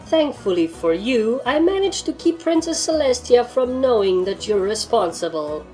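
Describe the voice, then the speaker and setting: A woman's voice: a little high, sing-song, normal speed, darkish tone, pitched above the performer's own voice. Woman acting as alien pony heroine, vengeful, gleeful, taunting, mock-sweet menace, story character, music behind. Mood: smug, menacing.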